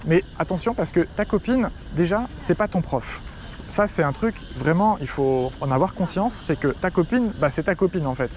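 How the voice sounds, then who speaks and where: A man's voice: fairly high pitch, a little mellow, slight background noise. A young French man who teaches Chinese, giving casual, direct advice straight to the viewer outdoors in front of a city skyline. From France. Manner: chatty, direct, addresses viewer as tu. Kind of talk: casual talking-head advice video.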